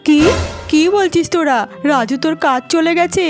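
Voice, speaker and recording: High-voiced dramatic older mother-in-law played by a woman. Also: normal speed, natural voice. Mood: alarmed, scolding. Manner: dramatic, lamenting. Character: anxious, scolding older mother-in-law of poor household. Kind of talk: melodramatic cartoon dubbing. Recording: music behind the voice.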